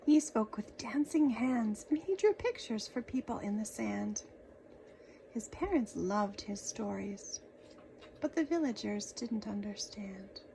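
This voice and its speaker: Female children's storyteller, very slow delivery, expressive and dramatic, slight background noise. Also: mid-range voice, vocal fry, rough recording. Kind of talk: expressive read-aloud narration for children.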